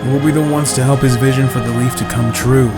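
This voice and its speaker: Guy playing a hopeful loyal soldier, mid pitch, earnest, music behind. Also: a little fast, natural voice. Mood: earnest, firm. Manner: calm, earnest. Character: idealistic, loyal male ninja veteran, earnest. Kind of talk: fan radio drama acting.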